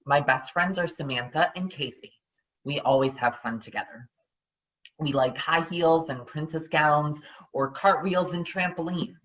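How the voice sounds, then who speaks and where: An adult reader plays a cheerful young transgender girl from a picture book. The voice is bright and forceful, with simple, earnest phrasing. The recording is a little dull and lo-fi. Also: mid-range voice. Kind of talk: dramatic picture-book reading.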